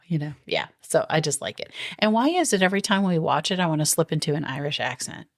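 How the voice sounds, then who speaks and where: Low-voiced chatty woman co-host. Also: big pitch swings, fast. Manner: chatty, laughs often, playful asides. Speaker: podcast co-host. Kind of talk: casual chat about a TV movie.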